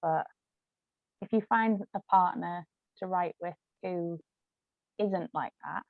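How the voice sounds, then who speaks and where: British female author in casual interview, lowish voice, dry but phone-like audio. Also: slow, vocal fry, no room sound. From United Kingdom. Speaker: co-author and ghostwriter being interviewed. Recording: thin phone-like sound.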